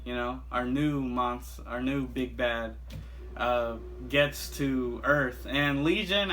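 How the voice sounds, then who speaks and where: A man's voice: medium pitch, a bit bright, slight background noise. A man reviewing a monster movie in a casual, rambling way, full of fillers and restarts. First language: not Japanese. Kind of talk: casual chat.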